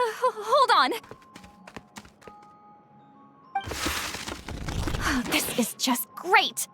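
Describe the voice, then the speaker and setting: Grumpy embarrassed game girl, stammery n dramatic, high voice, music behind. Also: sharp tone, full character voice. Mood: flustered. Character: proud, easily flustered young woman, grumpy and embarrassed. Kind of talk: video game voice acting.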